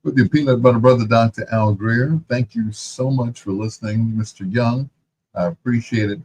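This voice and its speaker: A man's voice: medium pitch, moderate pace, darkish tone, breathy edge, poor audio. A man hosting a radio music show, chatting casually and telling playful personal anecdotes.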